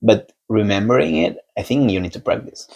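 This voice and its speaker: A young man from Spain with a Spanish accent, a podcast guest talking in a relaxed, halting way and laughing often. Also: lowish voice. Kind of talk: casual conversation.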